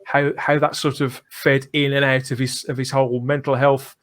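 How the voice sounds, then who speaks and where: A man's voice: medium pitch, little pitch movement, normal speed, a bit bright. A man hosting a podcast, talking in a conversational, discursive way and often ending on tag questions. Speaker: podcast host.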